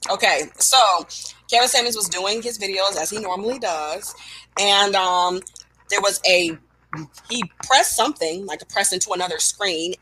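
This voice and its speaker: A woman co-hosting a podcast, chatting casually and telling stories with animated, self-mocking humor. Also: on the low side, slight background noise, rough recording. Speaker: podcast co-host. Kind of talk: casual chat.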